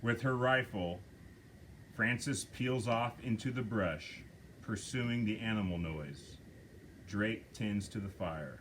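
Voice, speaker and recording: A man reading stage directions aloud at a script table reading, slow and measured. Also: lowish voice, a bit bright, a little hiss or hum.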